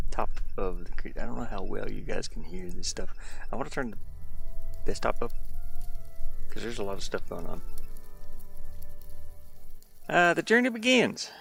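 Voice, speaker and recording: Male indie developer on stream, deep-ish fry-heavy voice, informal and meandering, trails off mid-thought. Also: big pitch swings, unhurried, pauses often, fairly bright tone, faint music behind. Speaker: indie game developer livestreaming. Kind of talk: casual livestream chat while demoing a game.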